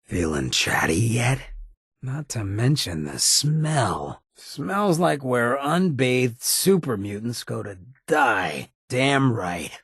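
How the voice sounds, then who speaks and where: Streetwise ghoul rogue for a video game: ragged gravelly rasp, animated wry delivery, dry close sound, swagger throughout. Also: male voice, medium pitch, takes it slowly, no room sound, exaggerated voice. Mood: wry, needling. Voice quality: heavily raspy, gravelly. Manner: swaggering slangy drawl, wry and informal. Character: roguish, streetwise ghoul adventurer, irreverent, loyal to underdogs. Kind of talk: video game character acting.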